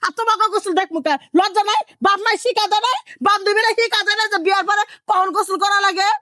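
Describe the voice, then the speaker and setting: A woman's voice: very high-pitched, fast, a bit bright, no echo, studio quality. A woman playing a scolding, old-fashioned Bangladeshi village mother-in-law in a domestic drama, haranguing with repeated questions in a rural Bangladeshi accent. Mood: outraged. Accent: rural Bangladeshi Bengali. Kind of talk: improvised-sounding domestic drama acting.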